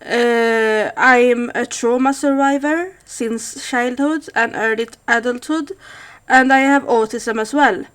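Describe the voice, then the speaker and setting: A woman's voice: a little high, takes it slowly, fairly bright tone. A woman in her thirties from Sweden with a Swedish accent, speaking thoughtfully to camera with frequent hesitations. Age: 30s. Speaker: vlogger. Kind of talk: casual monologue to camera.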